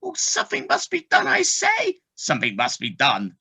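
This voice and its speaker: A woman playing an indignant, complaining countryside animal in an amateur audio play, with a raspy, theatrical voice. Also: extremely high pitch, wildly swooping pitch, normal speed, pushed and bright, heavily acted.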